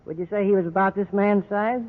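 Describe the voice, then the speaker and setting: An actor playing a gruff, hard-nosed police officer who browbeats people in a 1950s radio drama. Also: male voice, high voice, steady tone, a little fast, close to an everyday voice. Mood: pressing. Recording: tinny, noisy 1950s radio recording.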